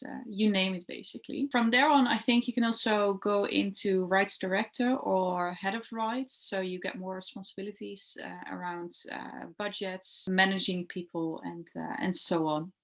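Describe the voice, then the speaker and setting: A young woman whose first language is Dutch, answering interview questions about her job in a hesitant way, with frequent fillers and restarts. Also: medium pitch. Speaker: translation rights executive.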